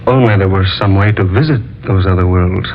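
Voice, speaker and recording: A man's voice: sits low, brisk, close to an everyday voice. An actor playing a blunt male doctor who reacts with incredulity, in a 1940s radio drama. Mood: astonished. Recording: lo-fi old radio recording with faint hiss.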